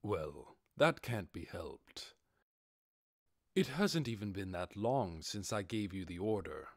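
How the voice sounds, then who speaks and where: A man voicing a composed lordly master in an audiobook, measured, formal and authoritative as he keeps up a commanding front. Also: fairly low pitch, lively intonation, dry recording, clean studio sound, voice pushed down, full character voice. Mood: calm, authoritative. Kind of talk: audiobook character voice.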